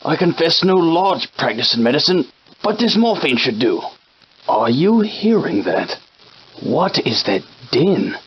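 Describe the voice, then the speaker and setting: An actor playing a genteel, verbose shopkeeper with a villain's streak, in formal, archaic, theatrical game dialogue. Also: male voice, on the high side, very animated, a little slow, muffled recording, a little hiss or hum. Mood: alarmed. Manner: formal, archaic, florid wording. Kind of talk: theatrical period game acting.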